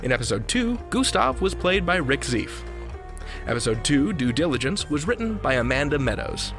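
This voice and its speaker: Animated male podcast host over music. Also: on the high side, moderate pace. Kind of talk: scripted conversational storytelling and show credits. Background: music bed.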